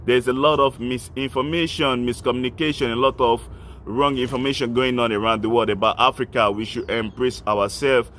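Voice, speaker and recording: A man's voice: medium pitch, fairly even intonation, a little fast, fairly bright tone, slight background noise. A man, hosting an online channel, speaking earnestly and directly to his listeners. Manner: earnest, appealing directly to listeners, repetitive listing. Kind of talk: impassioned speech to camera.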